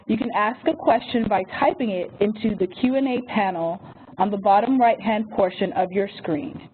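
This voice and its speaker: A woman moderating a webinar, reading housekeeping notes and an introduction from a script. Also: mid-range voice. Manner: reads phone number slowly and carefully. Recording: tinny phone-line audio with faint hiss.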